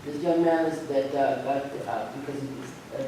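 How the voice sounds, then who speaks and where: A man in an informal group discussion, speaking in a questioning, halting way from far off in a large room. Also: medium pitch, steady tone, gravelly. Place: large indoor arts-center room. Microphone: distant room microphone. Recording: echoey hall, far from mic, background noise.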